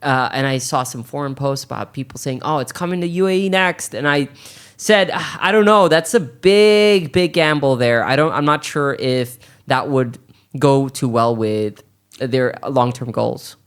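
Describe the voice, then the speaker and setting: A man's voice: mid-range voice, moderate pace, clear, resonant tone. A man hosting a podcast, talking casually and explaining his points at length. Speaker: podcast host.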